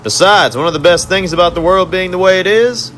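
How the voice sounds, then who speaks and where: A man's voice: sits high, a bit bright, slight background noise, voice pushed up. A man voicing a sadistic male villain who mocks and gloats while interrogating a prisoner. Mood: taunting. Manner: taunting, drawn-out mocking delivery.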